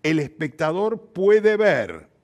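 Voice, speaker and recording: A man's voice: high-pitched, big pitch swings, slow pace. An older man with a Rioplatense Argentine accent explaining ideas reflectively in conversation, in an emphatic, didactic manner with rhetorical questions. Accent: Rioplatense Argentine Spanish. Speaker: interview guest, theatre practitioner.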